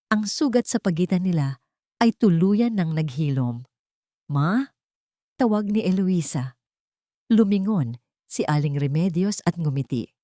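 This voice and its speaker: Woman, Filipino accent, low voice, scripted dramatic storytelling, romance narration, dry close sound. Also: mellow, no room sound.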